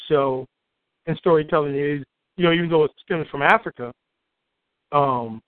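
Booming outspoken man from Chicago. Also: normal speed, no echo. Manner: outspoken, repeats words when excited. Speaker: guest poet and storyteller. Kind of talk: animated storytelling in an interview. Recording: tinny phone-like line, dry.

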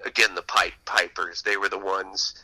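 A man's voice: deep voice, level tone, moderate pace, bright, forceful tone, slightly rough, rough recording. A man being interviewed as an author, telling anecdotes in a conversational radio style.

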